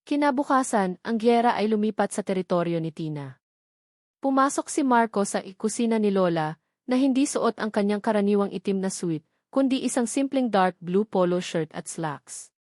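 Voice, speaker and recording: A Filipino woman narrates a scripted romantic drama. Her voice sits at a middle pitch, and her delivery is measured and solemn. The sound is dry and close, with no room around it. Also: darkish tone, dry recording.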